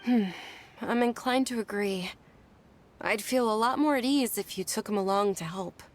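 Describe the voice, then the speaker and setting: An actress playing a gentle, caring young noblewoman in a video game, speaking softly, politely and with some hesitation. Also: medium pitch, moderate pace, natural voice. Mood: concerned. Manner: soft-spoken, hesitant, polite. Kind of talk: game voice acting, story dialogue.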